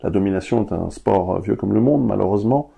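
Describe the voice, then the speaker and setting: Guy musing as a guest, deep-ish and gravelly. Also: quick pace, lo-fi sound. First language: Italian. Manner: reflective, thinking aloud. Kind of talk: conversational interview.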